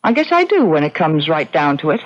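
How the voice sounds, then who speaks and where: An actress playing a wry, forgiving, reflective woman in a 1970s radio drama, speaking deliberately. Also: low voice, sing-song. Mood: wounded, dry. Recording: dull, lo-fi old radio recording.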